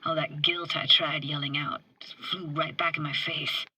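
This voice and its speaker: A woman's voice: sits low, flat delivery, moderate pace, bright, forceful tone, slightly rough, no room sound, exaggerated voice, poor audio. A woman playing a wry, tender young streetwise techie in a video game, with casual, clipped, slangy delivery. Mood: wistful. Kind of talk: video game character dialogue.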